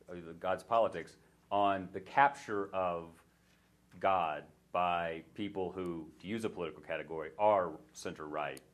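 Man, very deep voice, moderator, probing, long framing questions. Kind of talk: panel discussion.

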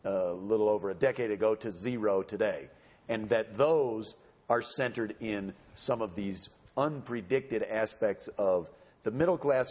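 A man on a panel answering audience questions in a measured, explanatory way. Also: on the low side, a little mellow. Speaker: panelist speaking for a campaign.

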